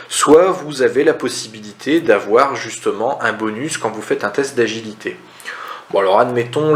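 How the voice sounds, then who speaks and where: A man presents board game rules in a casual, step-by-step tutorial, conversational and didactic, often asking questions and then answering them. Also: mid-range voice. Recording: roomy echo, audible background noise.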